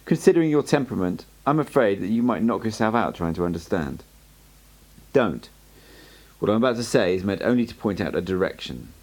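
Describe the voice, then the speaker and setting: Guy doing an old sorcerer mentor, deep and gravelly, calm, kind of wry, swings up and down a lot. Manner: calm, measured, instructive.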